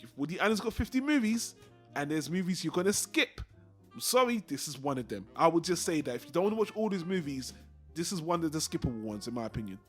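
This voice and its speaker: A man with a slightly high voice talks in a casual, opinionated review style. He rambles and circles back over his points, with music playing faintly underneath. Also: big pitch swings, fast, slightly rough. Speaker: film review show host. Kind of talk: casual film review chat.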